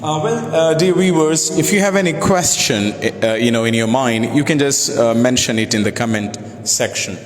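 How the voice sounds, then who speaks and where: A man's voice: mid-range voice, moderate pace, hardly any pauses. Man from pakistan hosting a live class chat, fancy words, lots of "you know", roomy echo. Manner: formal, many fillers like "you know", elaborate vocabulary. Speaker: teacher and session host. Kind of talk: live video discussion.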